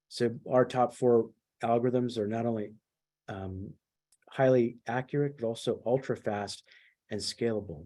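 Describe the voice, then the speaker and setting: Male company leader, lowish soft-edged dark voice, delivering prepared business updates on a conference call. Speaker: company executive leading an earnings call.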